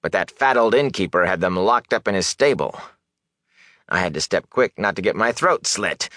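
A man voicing a ragged, nervous peddler in an audiobook, with a rasping, whining voice and rustic turns of phrase. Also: low-pitched, lively intonation, normal speed, fairly bright tone, dry recording, clean studio sound. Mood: aggrieved, whining. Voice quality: rasping, sometimes dropping to a hoarse whisper. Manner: rustic dialect, nervous, wheedling rhetorical questions.

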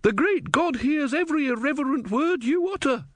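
A man putting on a shrill, pious young woman, pushed far above his own register. She speaks with fervent, high-strung zeal. The sound is dry and close. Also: huge pitch swings, takes it slowly, dry recording, heavily acted.

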